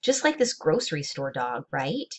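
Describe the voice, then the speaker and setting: A woman's voice: low voice, very animated, normal speed, breathy edge, full character voice. A woman voicing an eager, inquisitive little country girl with blunt, folksy childish phrasing in a storybook. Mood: wondering.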